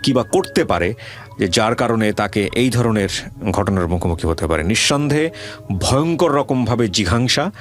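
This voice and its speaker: A man with a Bangladeshi accent hosting a conversational interview, speaking out loudly and deliberately and addressing the audience. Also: on the low side, expressive, faint music behind. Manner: speaks loudly and deliberately for a hard-of-hearing guest. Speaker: radio show host interviewing a guest.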